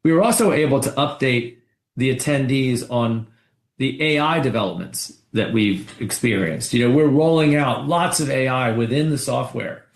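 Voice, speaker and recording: A man speaking as a company executive on an earnings call, pitching products conversationally with frequent restarts and repeated words. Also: lively intonation, moderate pace, vocal fry, echoey room.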